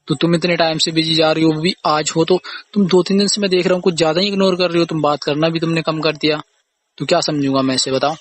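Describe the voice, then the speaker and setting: Insistent high-pitched man on a noisy call. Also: little pitch movement, fast talker. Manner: accusing, insistent, repetitive, aggrieved. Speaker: boyfriend or husband on a phone call. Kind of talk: casual argumentative phone conversation. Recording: noisy phone call recording.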